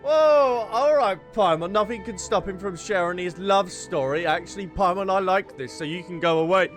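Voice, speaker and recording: A man's voice: high voice, normal speed. A man giving playful, teasing let's-play commentary as a gaming streamer. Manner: animated, teasing, breaks into giggles. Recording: faint game music behind.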